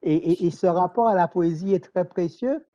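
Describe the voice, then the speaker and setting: A man answers in a calm, reflective interview manner. He pauses, repeats words and hesitates as he thinks aloud. His voice is warm and mellow, and the recording sounds dull and lo-fi. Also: sits high. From Martinique. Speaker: writer, interview guest.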